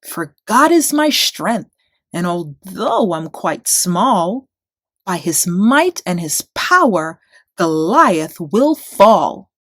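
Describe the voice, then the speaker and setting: A woman's voice: fairly low pitch, very animated, slow, a bit bright, no room sound, clean studio sound, heavily acted. A woman voicing a tiny, spunky, fearless shepherd boy in a rhyming children's storybook, bold and declamatory. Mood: earnest, confident.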